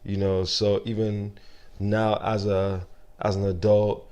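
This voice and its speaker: Very low, flat-voiced young man. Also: slow pace, smooth. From Nigeria. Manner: soft-spoken, reflective, many fillers and restarts. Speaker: interview guest. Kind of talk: casual interview conversation.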